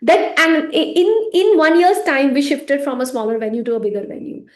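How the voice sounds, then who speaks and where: A woman speaks in a slightly higher register and keeps a relaxed, conversational flow. She laughs easily, and her voice often sinks quietly at the ends of phrases as she answers questions about her work as a healing teacher. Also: normal speed. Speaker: pranic healing teacher and center organizer. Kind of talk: casual interview answers.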